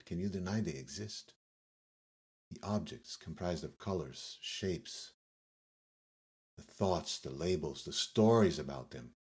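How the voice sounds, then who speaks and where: Male meditation guide, low and a little breathy-rough, delivering yoga nidra instructions in measured, pause-filled phrases. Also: a little mellow.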